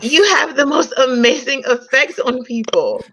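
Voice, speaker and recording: A woman reading the part of a warm, admiring young woman on a romantic date in a comedy table read. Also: sits high, animated, moderate pace, heavily acted. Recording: dull, slightly noisy home recording.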